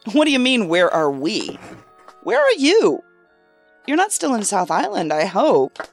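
A woman playing a brisk, skeptical federal investigator in an audio drama, terse, dry and questioning. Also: medium pitch, wildly swooping pitch, creaky, faint music behind, heavily acted. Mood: impatient.

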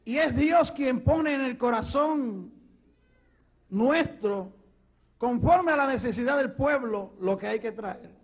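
Fervent Puerto Rican evangelist, very high voice. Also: male voice, lively intonation, slow pace. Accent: Puerto Rican Spanish. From Puerto Rico. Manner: fervent, rising to shouts. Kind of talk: preaching a testimony.

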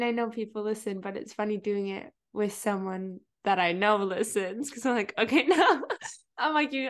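A young woman hosting a podcast, chatting casually with lots of fillers and restarts. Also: fairly high pitch. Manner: chatty, many fillers and restarts, laughs often. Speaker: podcast host. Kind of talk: casual interview chat.

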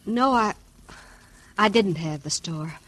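An actress playing a devoted, anxious Old West wife in a radio western, earnest and pleading, with an American accent. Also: female voice, mid-range voice, lively intonation, takes it slowly, a bit bright, breathy edge, full character voice, low-quality recording. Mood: troubled.

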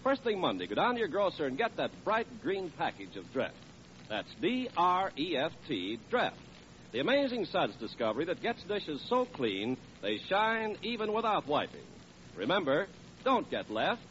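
Animated 1940s male radio announcer. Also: on the high side, normal speed. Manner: polished, emphatic, salesmanlike. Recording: muffled, faintly hissy vintage radio.

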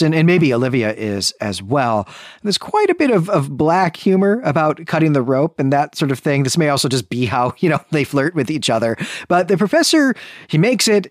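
A man's voice: mid-range voice, no room sound, clean studio sound. A man hosting a podcast, recapping a story conversationally and explaining it with frequent asides. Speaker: podcast host. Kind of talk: conversational literary recap and commentary.

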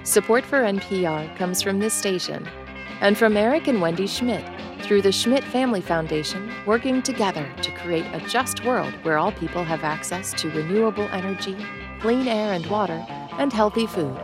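A woman reads sponsor credits for public radio in a smooth, steady voice. Clear guitar music plays under her. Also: mid-range voice, moderate pace. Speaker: sponsor announcer. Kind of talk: scripted underwriting announcement.

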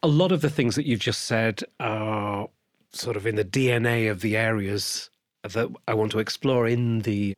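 A man's voice: medium pitch, dry recording, studio quality. A man hosting an interview, introducing his guest and topics in a courteous, formal manner. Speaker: host.

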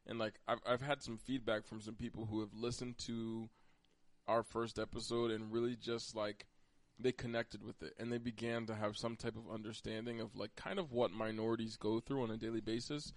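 A man's voice: on the low side, little pitch movement, moderate pace, no room sound. An American man hosting a podcast, chatting casually and conversationally with a teasing streak toward his co-host. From Pennsylvania, United States.

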